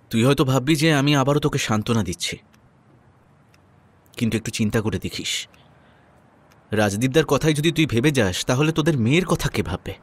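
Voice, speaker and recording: A man narrating a first-person audio story and acting its dialogue, in a measured, earnest way. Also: medium pitch. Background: new-age music.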